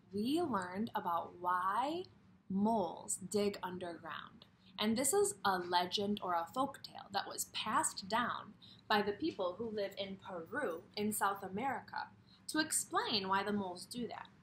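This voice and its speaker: A woman's voice: medium pitch, animated, unhurried, a bit bright, slight background noise. A woman kindergarten teacher reading a story aloud expressively and putting questions directly to young children.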